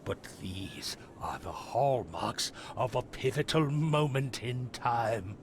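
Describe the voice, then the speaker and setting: A man playing a grave, fervent doomsday preacher in a video game, with slow, solemn oratory. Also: medium pitch, animated, slight background noise. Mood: ominous. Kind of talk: video game cutscene acting.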